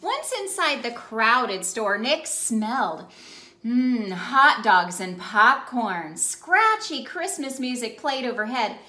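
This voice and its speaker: A bright-voiced woman reads a storybook aloud for children in a roomy indoor space. She takes her time, speaking slowly and with exaggerated expression, as if talking straight to young listeners. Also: fairly high pitch, sing-song. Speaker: children's ministry storyteller and host. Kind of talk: animated picture-book read-aloud for children.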